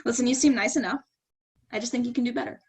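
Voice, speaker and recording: A woman's voice: a little high, steady tone, quick pace, darkish tone, slightly rough. A woman playing a blunt, dryly sarcastic critic in a staged play reading. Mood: blunt, critical. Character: blunt, sardonic woman critiquing a would-be writer's work. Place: remote virtual staged reading.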